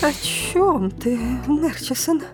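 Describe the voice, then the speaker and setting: An actress playing an anxious, fussing aunt in an audio drama, fretful and full of exclamation. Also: female voice, sits high, expressive, slow pace, music in the background. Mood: anxious, tender. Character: anxious, fussing aunt, caring older sister figure.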